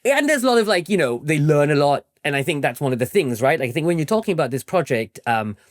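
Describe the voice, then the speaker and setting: A man talks as an interview guest in a quick, highly animated voice, with pitch that moves around a lot. He explains in an informal, conversational way and often tags his points with a checking "right?" The sound is dry and close. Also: no room sound. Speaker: interview guest, historian and author.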